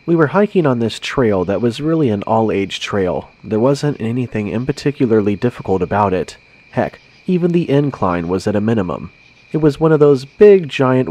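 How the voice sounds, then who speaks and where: A man tells a scary story in the first person. His voice sits fairly low, and he narrates in a conversational, scripted way. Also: darkish tone.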